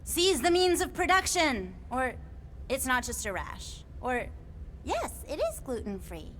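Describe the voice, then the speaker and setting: An oddball alien mystic in a video game, airy and playful. Her high voice lilts whimsically with big swings in pitch, over a faint bed of noise. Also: wildly swooping pitch, measured pace, a bit bright, exaggerated voice. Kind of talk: video game cutscene acting.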